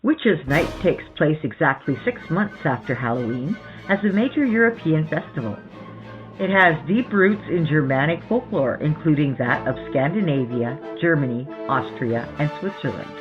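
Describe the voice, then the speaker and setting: A podcast host giving scripted horror narration in a theatrical, measured storytelling manner. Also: mid-range voice, expressive. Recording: muffled lo-fi sound under music. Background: background music.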